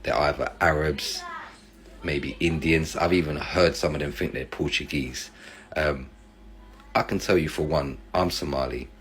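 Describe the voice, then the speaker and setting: East African man, extremely low voice, earnest tone. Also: steady tone, unhurried, a bit bright. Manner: earnest, encouraging. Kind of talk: casual reaction video.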